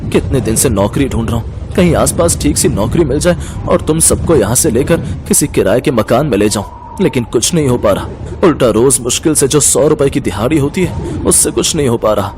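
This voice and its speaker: A man's voice: medium pitch, expressive, brisk, noisy background, close to an everyday voice. A man playing a poor, resigned, earnest family father in a cartoon story.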